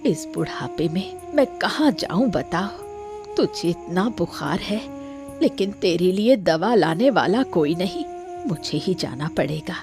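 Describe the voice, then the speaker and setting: A woman's voice: fairly low pitch, animated, unhurried, music in the background. A woman playing a caring, helpless old grandmother in a cartoon moral story, soothing and pleading. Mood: sorrowful, helpless. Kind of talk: Hindi cartoon story dialogue.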